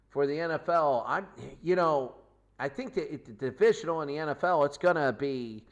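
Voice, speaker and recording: Male sports show host, off-the-cuff football recap, lively up-and-down pitch, restarts and lists numbers. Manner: rambling, self-correcting, lists stats. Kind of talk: unscripted football recap commentary.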